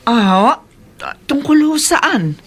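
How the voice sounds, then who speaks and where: A woman's voice: sits high, expressive, drawn-out words, full character voice. An actress playing a sour, falsely polite neighbor woman in a Filipino radio drama, with a snide manner.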